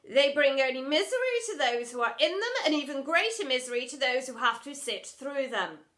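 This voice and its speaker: A woman's voice: high-pitched, expressive, fairly quick, a bit bright. A woman voicing an imperious, posh headmistress in a children's storybook, pompous, commanding and exasperated. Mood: firm, dismissive. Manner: pompous, commanding, refers to herself as "one".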